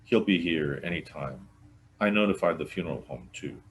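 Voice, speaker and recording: Man reading as a plain-spoken deputy, very deep. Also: lively intonation, moderate pace, slight background noise. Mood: matter-of-fact. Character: male deputy, plain-spoken, a bit impatient.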